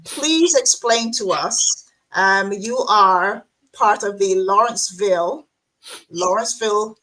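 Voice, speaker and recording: A woman's voice: medium pitch, takes it slowly, a bit bright, rough recording. A woman hosting an interview show, chatting casually over a remote call with lots of fillers and restarts. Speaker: host.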